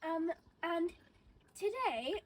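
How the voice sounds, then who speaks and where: A woman's voice: extremely high pitch, sing-song, drawn-out words. A young woman riding a horse and vlogging, chatty and rambling, with a cold and a runny nose, talking outdoors in a windy arena. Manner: chatty, rambling with many fillers. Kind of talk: casual vlog chat. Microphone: camera mic, no wind cancelling. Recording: wind and outdoor noise.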